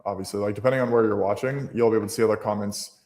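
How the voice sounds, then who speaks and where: A man's voice: lowish voice, steady tone, racing, a little mellow, long reverb tail. Chatty man thinking aloud on a trading stream. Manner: chatty, thinks aloud with many fillers and restarts. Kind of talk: casual live-stream chat.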